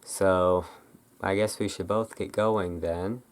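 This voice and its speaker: An ASMR host chatting casually in a rambling, halting way, as in an informal roleplay. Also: deep voice, fairly even intonation.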